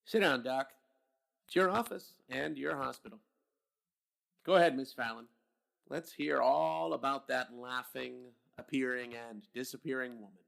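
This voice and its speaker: A hard-nosed police captain in a radio horror play, his voice gravelly at the edges. He questions and demands in a brusque, doubting way. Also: medium pitch, unhurried, fairly bright tone. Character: skeptical, blunt police captain. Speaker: voice actor. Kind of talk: old-time radio drama acting.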